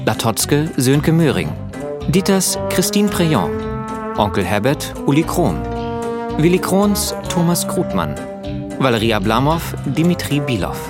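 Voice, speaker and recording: A man reading scripted radio credits as an announcer, in a measured, formal manner. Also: fairly high pitch, very animated, loud music behind the voice.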